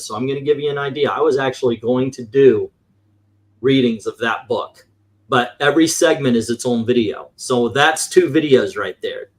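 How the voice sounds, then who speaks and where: A man chatting casually as a show host, blunt and given to swearing. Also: medium pitch. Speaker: comics show host and shop dealer. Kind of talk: casual chat.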